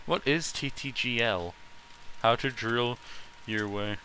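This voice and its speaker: A man's voice: medium pitch, takes it slowly, bright, forceful tone, slight background noise, poor audio. A man chatting casually on a livestream, ranting bluntly and swearing freely as an opinionated host. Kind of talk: casual rambling livestream chat with friends.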